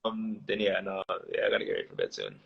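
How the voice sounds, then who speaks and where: A man's voice: sits low, huge pitch swings, fast, vocal fry, husky. A man in his late twenties, a podcast guest talking casually with lots of fillers and restarts. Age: 20s. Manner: rambling, many fillers and restarts. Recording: dull lo-fi sound.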